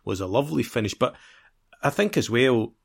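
A man's voice: medium pitch, lively intonation, normal speed, creaky. A man co-hosting a football podcast in a casual, discursive conversational way.

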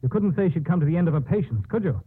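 A devoted man in anguish over the woman he loves. His voice is fairly high, husky and very expressive, in 1950s radio melodrama style. The recording is muffled and narrow. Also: rapid-fire. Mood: protesting. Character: devoted, anguished male lover of a condemned woman.

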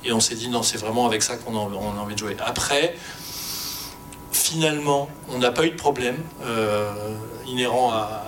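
A man speaking informally and spontaneously as a panelist, explaining technical work with halting, repeated words. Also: fairly bright tone. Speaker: sound fiction creator on an expert panel. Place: panel discussion before an audience. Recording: faint rumbling background noise.

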